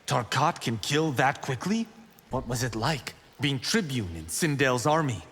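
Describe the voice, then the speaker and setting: Proud young fighter, reverberant. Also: male voice, medium pitch, expressive, a little slow, fairly bright tone, breathy edge, exaggerated voice. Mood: confident. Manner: self-assured, cocky. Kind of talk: video game character dialogue. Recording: reverberant game audio, faint noise.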